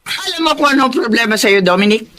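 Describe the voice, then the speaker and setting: A sharp-tongued older Filipina mother-in-law in a radio drama. She nags and taunts in a domineering way, her pitch swinging up and down with every accusation. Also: animated, fast. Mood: taunting, accusing.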